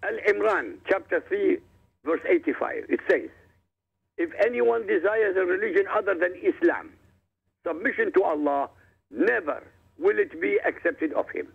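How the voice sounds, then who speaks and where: Gravelly, emphatic man on a phone line. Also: high voice, expressive, moderate pace. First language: Arabic. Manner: emphatic, combative, addresses listeners directly. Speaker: guest author. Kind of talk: radio interview, lecturing.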